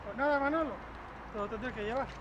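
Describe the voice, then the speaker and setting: Very high-pitched rambling man, heavily echoey. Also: fast talker, dull audio. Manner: rambling, repetitive, tag questions. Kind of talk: rambling improvised holiday video with product tasting. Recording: very echoey, distant mic, slight noise.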